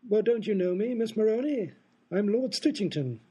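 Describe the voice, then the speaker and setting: A man's voice: high-pitched, animated, normal speed, dark tone, husky. An actor playing a smug, scheming aristocrat in a comedic radio play, explaining his prank at length.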